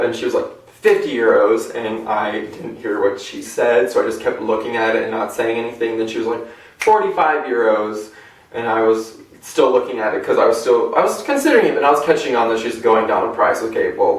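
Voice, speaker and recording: A man's voice: medium pitch, animated, moderate pace. A young American man presenting a collection in a casual, chatty, enthusiastic and rambling vlog style. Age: young adult. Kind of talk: casual show-and-tell vlog. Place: indoor room at home. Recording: echoey room, distant microphone.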